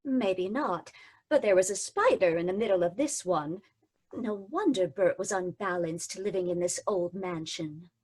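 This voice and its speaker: A woman playing a spirited breathy heroine. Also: lowish voice, a little slow, a little mellow. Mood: wry. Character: spirited young female companion of the hero. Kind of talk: old-time radio drama acting.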